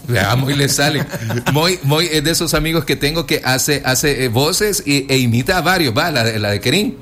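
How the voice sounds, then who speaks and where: A man's voice: a little high, normal speed, a bit bright. A man hosting a playful radio chat, teasing his cohost with pet names. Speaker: radio host.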